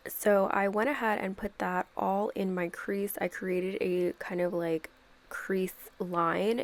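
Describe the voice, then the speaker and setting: A young woman with a stuffy, congested voice from a cold, chatting through a makeup tutorial voice-over and filling it with "like" and "kind of.". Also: fairly low pitch. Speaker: makeup YouTuber.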